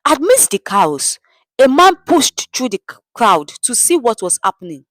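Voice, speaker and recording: A woman's voice: on the high side, big pitch swings, normal speed, no echo. A woman with a Nigerian accent narrating a scripted story in a dramatic storytelling style.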